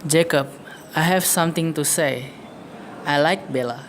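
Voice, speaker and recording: A woman's voice: sits low, unhurried, a little hiss or hum. A woman playing an earnest young man, an older brother in love, in an amateur radio play with careful scripted delivery. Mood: serious.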